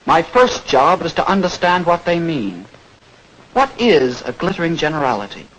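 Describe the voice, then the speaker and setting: Eager attentive learner, animated intonation, inquisitive, vintage instructional film, slight noise. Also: male voice, fairly high pitch, normal speed. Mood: earnest, curious. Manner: earnest, attentive, questioning. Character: earnest, inquisitive male campaign pupil. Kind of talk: 1940s educational film dialogue. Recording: noisy old 1940s film soundtrack.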